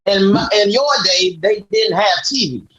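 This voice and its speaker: A man's voice: sits high, unhurried, a bit bright, highs cut off. A man playing a blunt, exasperated younger nephew in an acted script reading, with a dry manner. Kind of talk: acted table read.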